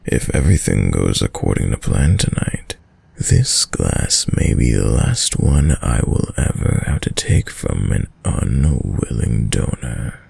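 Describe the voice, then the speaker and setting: A man playing a suave, predatory, dominant vampire lord in an intimate audio roleplay, with a very deep, gravelly voice and a courtly, seductive, menacing manner that lingers over words. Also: lively intonation, a little slow, a little mellow, full character voice. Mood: menacing, sly.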